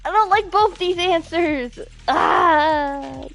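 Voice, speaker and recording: A woman's voice: very high voice, slow pace, fairly bright tone, soft background music, low-quality recording. A woman streaming a game, playful and exclamatory as she reacts and chats with viewers.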